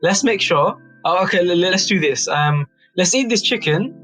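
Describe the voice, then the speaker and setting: A young man with a British accent and a notably high voice tells a casual story. He is slangy and animated, repeating phrases and tagging them to check his friends follow. Music plays behind him. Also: normal speed. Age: young adult. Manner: animated slangy storytelling, repeats himself, seeks agreement with tags. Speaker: youth advisory board member on a podcast. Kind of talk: casual anecdote among friends.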